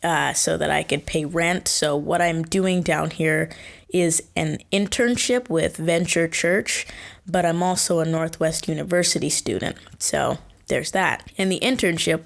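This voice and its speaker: A woman's voice: lowish voice, creaky. A young woman hosting her first solo podcast, chatting casually and rambling in circles with frequent self-corrections. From Washington State, USA. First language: born in Kenya. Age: young adult. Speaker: podcast host.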